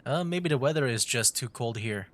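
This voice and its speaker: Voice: mid-range voice, animated, normal speed, a bit bright. A streamer giving casual gameplay commentary in a chatty way, reacting aloud while playing. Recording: music behind.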